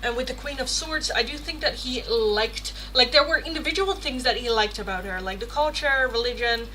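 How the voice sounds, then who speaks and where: A woman's voice: a little high, moderate pace, rough recording. A woman from the Netherlands, a native Dutch speaker, chatting casually and expressively as she hosts a live tarot stream. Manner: chatty, expressive, swears playfully. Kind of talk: casual live chat with viewers. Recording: clear background noise.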